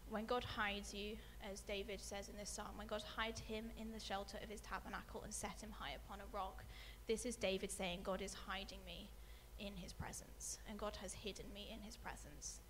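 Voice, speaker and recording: Woman giving a sermon, soft and a bit hesitant, lots of ums, voice drops off at the end of lines, faint hum behind. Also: mid-range voice, level tone, moderate pace, hardly any pauses. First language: not English. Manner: soft-spoken, many ums, trails off quietly at phrase ends. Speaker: preacher. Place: church hall with a screen.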